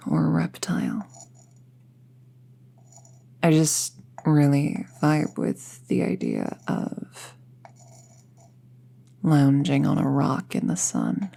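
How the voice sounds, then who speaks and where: A woman playing a friendly, chatty young apprentice witch in an intimate roleplay audio, musing casually in a soft, husky, breathy voice. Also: sits low, full character voice. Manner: casual, musing, softly breathy, often near a whisper.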